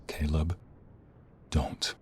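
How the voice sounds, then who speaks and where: An actor playing a protective, defiant young mobster in an audio roleplay, urgent and threatening. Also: male voice, extremely slow, long reverb tail, a little hiss or hum. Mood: warning. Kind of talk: dramatic audio roleplay acting.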